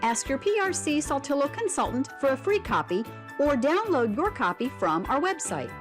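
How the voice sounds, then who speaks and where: A woman giving instructional narration and reading a picture book for children, expressive and deliberate, with prompting questions. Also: high voice, soft background music. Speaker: read-aloud instructor.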